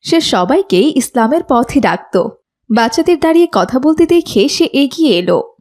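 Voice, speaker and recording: High-pitched woman narrating a children's story. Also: big pitch swings, normal speed, darkish tone.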